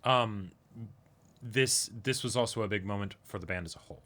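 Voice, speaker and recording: A man's voice: on the low side, normal speed, sharp tone. A man co-hosting a casual reaction discussion, agreeable and conversational, chiming in as he goes. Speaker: reaction show co-host.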